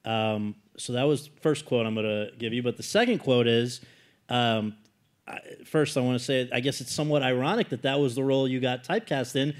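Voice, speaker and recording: A man's voice: medium pitch, brisk. A man hosting an on-stage interview, asking long, thoughtful questions with hesitant restarts. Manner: thoughtful, quotes back the guest's words, hesitant restarts. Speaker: interviewer, festival talk host.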